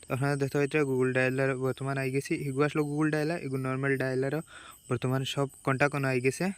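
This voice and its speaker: The Sylheti man on a small how-to channel, rattling through tap-by-tap instructions in an even, unvarying voice. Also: mid-range voice, racing, clear, resonant tone, no echo. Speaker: tech vlogger giving a phone tutorial. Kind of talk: casual step-by-step tutorial.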